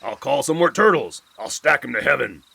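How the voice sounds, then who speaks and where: A man voicing a pompous, bossy male turtle king who barks orders and boasts loudly. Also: mid-range voice, animated, brisk, gravelly, breathy edge, no room sound, pitched above the performer's own voice, exaggerated voice. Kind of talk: storybook read-aloud.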